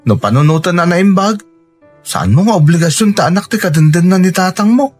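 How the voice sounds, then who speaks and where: An actor playing a steady, supportive young Filipino man with an Ilocano accent, reassuring in a radio drama. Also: high-pitched, brisk, slightly rough, faint music behind. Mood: reassuring, advising.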